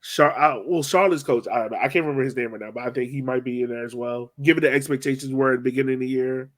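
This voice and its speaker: A man's voice: medium pitch, fast talker. A man hosting a casual sports discussion, thinking aloud in an informal way and often backtracking to rephrase. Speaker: podcast host steering the discussion.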